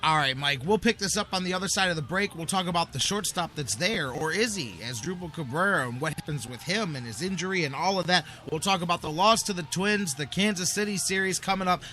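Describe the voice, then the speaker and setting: A man's voice: sits high, expressive, a little fast, hardly any pauses, pushed and bright, a little hiss or hum. An American man hosting sports talk radio, reading promos with an emphatic, salesman-like delivery. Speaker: sports talk show host.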